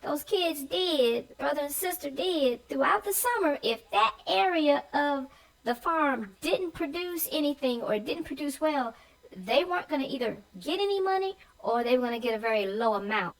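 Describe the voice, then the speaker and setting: A woman with a high voice chats casually as a co-host about a story for children. Her intonation moves up and down a great deal, and she gives her opinions in an informal, conversational way. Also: expressive, moderate pace, rough recording. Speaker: read-aloud show co-host.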